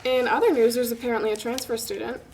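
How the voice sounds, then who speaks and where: A young woman playing a wry college student who loves gossip. Her voice is fairly high, reading a drama script aloud with slight noise in the background. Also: fairly even intonation, fast talker, a little mellow, lightly acted, poor audio. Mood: gossipy. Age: young adult. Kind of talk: table read of a pilot script.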